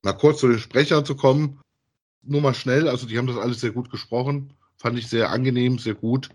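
A middle-aged man co-hosting a podcast in a casual review chat about audio dramas, with a husky voice. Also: medium pitch, fairly even intonation, brisk, darkish tone. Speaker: podcast co-host.

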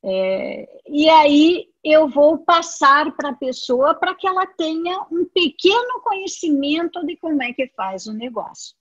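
A Brazilian woman with a high voice and a Brazilian Portuguese accent recounts her story slowly and unhurriedly. She acts out the conversations she remembers, so her storytelling stays lively. The recording is dull and lo-fi. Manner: animated storytelling, acts out quoted dialogue. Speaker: speaker telling her business story. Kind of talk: personal testimony to an audience. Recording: dull lo-fi audio.

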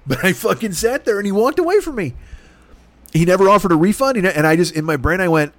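A man's voice: high-pitched, animated, fast talker, no room sound. A middle-aged man from Chicago with a Chicago accent, telling a long exasperated story in a heated, profane rant. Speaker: podcast host.

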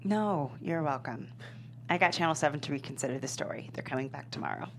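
A woman playing a confident, upbeat young sports management professional at a comedy table read, brisk and wry. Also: deep voice, slight background noise. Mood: assured, wry.

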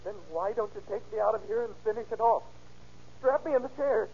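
A man's voice: sits high, brisk, a little mellow, slightly rough. An actor playing an intense, slangy, lovesick young man in an old-time radio crime drama. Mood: anguished, bitter. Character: distraught, lovesick young man confessing to a shooting. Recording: dull, noisy vintage radio recording.

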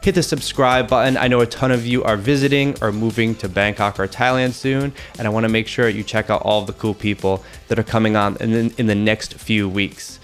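A man from Boston hosting a podcast, chatting in an upbeat, casual way with quick asides. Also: on the low side, fairly even intonation, soft background music. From Boston, USA. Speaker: podcast host.

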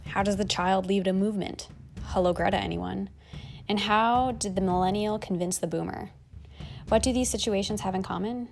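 A woman's voice: mid-range voice, fairly even intonation, normal speed, vocal fry, darkish tone, a little hiss or hum. A woman hosting a podcast, reading a scripted intro and then chatting with a guest in an upbeat, enthusiastic way. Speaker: podcast host.

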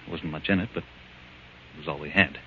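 A man's voice: very deep voice, fairly even intonation, normal speed, slight background noise. An actor playing an anxious, protective man with a guilty secret in 1940s radio drama style. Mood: explaining. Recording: muffled, humming old radio recording.

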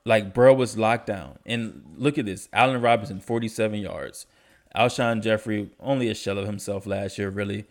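A man's voice: lowish voice, fairly even intonation, moderate pace. A young man hosting a casual sports podcast, stuffy from allergies, talking in a laid-back, slangy way. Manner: laid-back, slangy, enthusiastic about stats. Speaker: podcast host.

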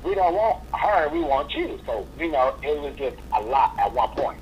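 A man's voice: mid-range voice, sing-song, moderate pace, a little hiss or hum. A man, a drag performer, talking as a guest in a casual interview, sassy and blunt in his opinions. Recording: dull lo-fi recording.

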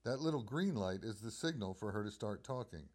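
A man's voice: lowish voice, expressive, a little fast, lightly acted. A man playing an obliging telephone company supervisor in a radio drama, explaining things courteously. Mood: informative, businesslike. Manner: courteous, explanatory, crisp phrasing.

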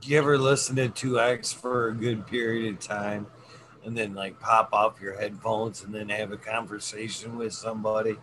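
Playful man, lowish voice, clear background noise. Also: little pitch movement, moderate pace. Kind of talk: casual chat. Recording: noisy background.

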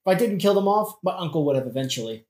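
A woman's voice: lowish voice, expressive, quick pace, a little mellow, close to an everyday voice. Roomy echo, woman acting as sardonic young prince, theatrical, melodramatic, comedic audio play.

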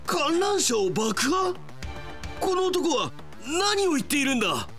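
Excitable, expressive amusement park manager. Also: male voice, very high-pitched, slow pace, soft background music, heavily acted. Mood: alarmed. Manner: expressive, talking to himself aloud. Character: earnest, excitable middle-aged male amusement park manager. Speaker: radio drama actor. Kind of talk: Japanese radio drama acting.

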